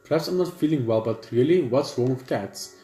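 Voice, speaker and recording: A man's voice: medium pitch, animated, fairly quick, mellow. A man reading story text aloud for a gaming video, sometimes stumbling over the words. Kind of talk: let's play read-aloud. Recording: roomy echo with music behind.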